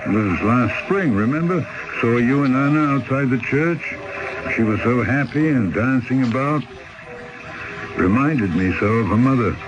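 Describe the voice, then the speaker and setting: A man's voice: mid-range voice, expressive, a little slow, background noise, lightly acted. An actor playing a blunt, earnest male river boatman in a radio drama, first scolding and then coaxing. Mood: reminiscing. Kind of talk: 1970s radio drama acting. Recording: dull old radio broadcast. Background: music score.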